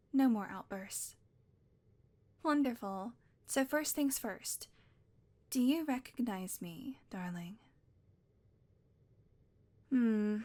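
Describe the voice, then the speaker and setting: A woman's voice: fairly high pitch, close to an everyday voice. A woman playing a sweetly menacing obsessive witch. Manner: sweet, coaxing, softly controlling, slips into whispers. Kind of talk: ASMR audio roleplay.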